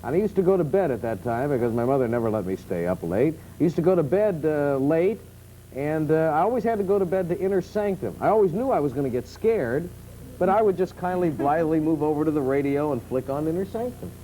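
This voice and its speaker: An American man works as a conversational television host. His voice is mellow, somewhat high for a man and very animated as he puts questions in a studio, with faint rumble behind. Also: quick pace, low-quality recording. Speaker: talk show host. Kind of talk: conversational interviewing of guest and audience.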